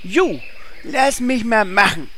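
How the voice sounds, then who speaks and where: Woman acting a slow, dopey adventurer. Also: mid-range voice, expressive, fairly bright tone, slight background noise. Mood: casual, confident. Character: dopey, self-satisfied, laid-back adventurer. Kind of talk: comedy audio drama acting.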